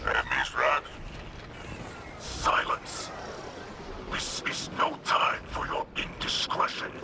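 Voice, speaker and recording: An actor voicing a menacing, severe villain in a video game, gravelly, formal and contemptuous. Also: male voice, takes it slowly, sharp tone, slight background noise. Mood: scornful.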